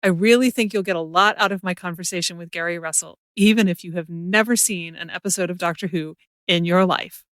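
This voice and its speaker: A woman's voice: on the low side, brisk, few pauses, fairly bright tone, dry recording, clean studio sound. A woman hosting a creativity podcast, speaking in a scripted, presenter style. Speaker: podcast host and creativity coach. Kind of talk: scripted podcast introduction and interview.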